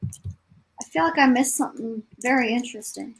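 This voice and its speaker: A woman's voice: fairly high pitch, normal speed, vocal fry, slight background noise. A woman co-hosting a casual livestream book chat in a wry, sarcastic way. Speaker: reading livestream co-host.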